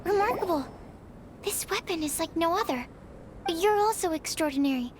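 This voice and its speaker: A woman's voice: very high-pitched, lively intonation, normal speed, a little hiss or hum. A woman voicing an earnest young female alchemist in a video game, formal and polite, stammering when flustered. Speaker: game character. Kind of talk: video game cutscene dialogue. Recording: game audio with music behind.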